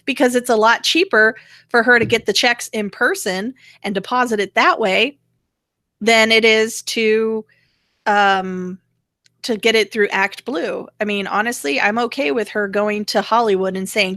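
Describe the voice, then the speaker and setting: A woman with a bright, forward voice talks frankly in casual, opinionated chat as a show co-host. The sound is dry and close, with very little room around it. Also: a little high, normal speed, dry recording. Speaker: co-host of a political rant show.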